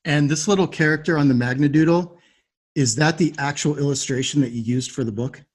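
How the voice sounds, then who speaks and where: American guy podcast host, voice a bit on the higher side, polite n orderly interviewer. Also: brisk, slightly rough.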